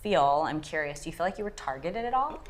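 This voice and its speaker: A woman's voice: low-pitched, animated, fast, a little hiss or hum. A woman from Los Angeles hosting a talk show, asking questions with sympathy and encouragement. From Los Angeles, USA. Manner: sympathetic, encouraging, lots of short affirmations. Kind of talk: casual interview talk.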